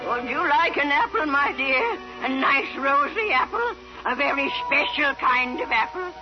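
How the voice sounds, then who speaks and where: A woman's voice: sits high, animated, normal speed. A woman playing a wicked old hag of a witch, coaxing with false sweetness in vintage radio fairy-tale style. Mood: sly, coaxing. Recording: muffled vintage radio, loud music behind.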